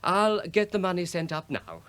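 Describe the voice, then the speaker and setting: An actor playing a flustered, self-important British bank deputy in a radio play, fussy, hesitant and deferential. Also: male voice, high-pitched, very animated, moderate pace, a bit bright, smooth. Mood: officious, anxious.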